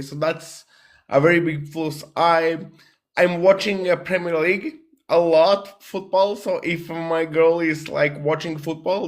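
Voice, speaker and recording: Hesitant Croatian man in his thirties. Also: on the high side. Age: 30s. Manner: hesitant, many uh and restarts, earnest. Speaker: caller on a dating show. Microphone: video call.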